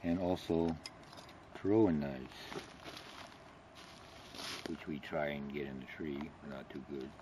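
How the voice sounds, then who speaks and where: A middle-aged man filming a family camping trip in the woods, joking and teasing in a low, offhand way into a handheld camera. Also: slow pace, pauses often. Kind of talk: casual home video chat. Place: outdoors in a wooded campground. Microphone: handheld camera held close. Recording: outdoor camcorder noise.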